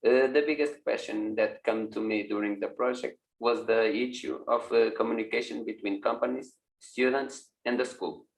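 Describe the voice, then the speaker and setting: A man from Portugal, a former project participant, asking a steady prepared question. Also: mid-range voice, moderate pace, hardly any pauses, low-quality recording.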